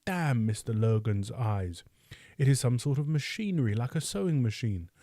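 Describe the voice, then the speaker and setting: A man voicing a proud, quick-tempered teenage boy in an audiobook, speaking in indignant, boastful outbursts. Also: medium pitch, animated, mellow, dry recording, clean studio sound, natural voice. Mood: angry. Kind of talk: audiobook character voice.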